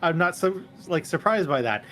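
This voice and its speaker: Higher-pitched man chatting in a roleplaying game. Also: expressive, moderate pace, fairly bright tone, faint music behind. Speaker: player in a tabletop roleplaying game. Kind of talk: casual improvised in-character chat.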